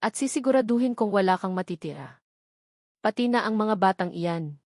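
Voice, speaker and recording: A woman's voice: mid-range voice, lively intonation, normal speed, a little mellow, dry recording, natural voice. Woman reading as menacing old patriarch, dry sound. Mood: threatening. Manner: cold, threatening. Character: menacing old wealthy patriarch, threatening blackmailer.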